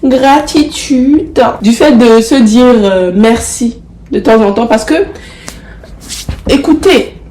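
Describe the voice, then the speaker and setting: A young woman with the flu talks straight to her viewers in a fairly high, congested voice. She coaxes them warmly and drives points home by repeating herself. The room around her gives the sound a roomy echo. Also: slow, slight background noise. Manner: affectionate, coaxing, repeats herself for emphasis. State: has the flu, stuffy nose. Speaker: motivational vlogger. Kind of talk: casual motivational talk to camera.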